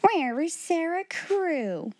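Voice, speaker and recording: A woman's voice: extremely high pitch, big pitch swings, slow, a little mellow, dry recording, voice pushed up, heavily acted. A woman voicing a stern, furious schoolmistress from a storybook, speaking in a fierce, commanding and bitterly ironic way. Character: stern, furious, hard-hearted middle-aged schoolmistress. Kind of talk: storybook character voice.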